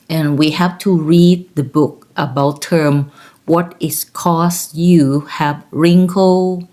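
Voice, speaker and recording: A woman's voice: on the low side, darkish tone. A woman in her fifties from Thailand with a Thai accent, telling personal stories in a rambling, laughing way. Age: 50s. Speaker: vlogger. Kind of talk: casual personal storytelling.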